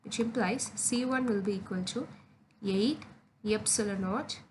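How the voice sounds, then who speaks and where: Female tutor, medium pitch, step-by-step lesson delivery, methodical and segmented phrasing, noisy ambient recording. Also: unhurried, darkish tone. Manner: methodical, spelling out formulas aloud in short phrases. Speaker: physics tutor. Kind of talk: step-by-step exam solution lesson.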